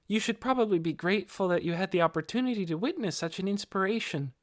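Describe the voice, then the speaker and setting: A man voicing a bright, encouraging youthful spellcaster in an audiobook, articulate and gently admonishing. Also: high voice, fast talker, voice pushed up, natural voice.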